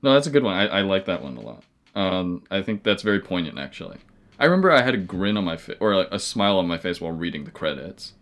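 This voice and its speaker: A man with a low voice chats easily as a podcast guest. His remarks are dry and laid back. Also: sing-song, fast, fairly bright tone. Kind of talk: casual chat.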